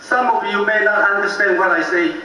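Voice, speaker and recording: An older man with a heavy Taiwanese accent, a native Taiwanese speaker, gives a slow, halting father-of-the-bride wedding toast full of self-deprecating humor. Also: high-pitched, crowd noise. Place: large banquet hall. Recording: echoey banquet hall from far off with faint background noise.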